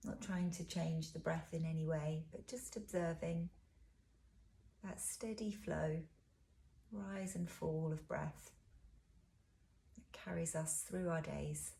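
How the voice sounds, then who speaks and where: A woman leading a guided body scan as a relaxation teacher, speaking in a soothing, unhurried, gentle way, with soft breathy phrase endings. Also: sits low, fairly even intonation.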